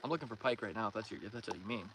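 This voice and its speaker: A man's voice: lowish voice, racing. A man with a Canadian accent chats casually as a livestream host, reading out and answering viewer comments in a friendly, welcoming way. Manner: friendly, welcoming, thanks and greets viewers by name. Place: outdoors in a canoe on a pond. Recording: noisy outdoor recording with water and canoe sounds.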